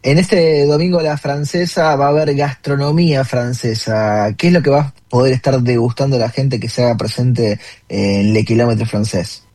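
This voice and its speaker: A man's voice: mid-range voice, fairly even intonation, moderate pace, few pauses, warm tone, no room sound. Argentine male interviewer, dry close sound. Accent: Rioplatense Argentine. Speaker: interviewer, host. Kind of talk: conversational radio-style interview.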